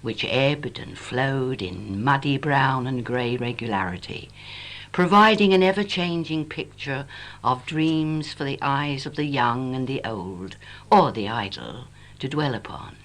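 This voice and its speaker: English lady reading a poem, really slow n wistful, voice rises and falls loads, old cassette. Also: very deep voice, expressive. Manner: wistful, lyrical, measured delivery. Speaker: poet reading own work. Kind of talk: expressive poetry recitation. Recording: noisy 1980s home cassette, humming. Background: tape noise and hum.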